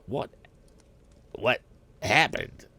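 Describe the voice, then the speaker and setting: A man voicing nosy, demanding teenage boys, a small-town bully and his cronies, in a storybook reading. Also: very slow. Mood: eager, demanding. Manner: demanding, excitable.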